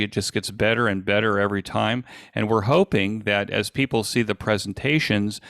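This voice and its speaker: A man giving an informal live software demo as an event presenter, explaining things in a halting, stop-and-start way on an online video call. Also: lowish voice, vocal fry, clear, resonant tone, no echo, clean studio sound.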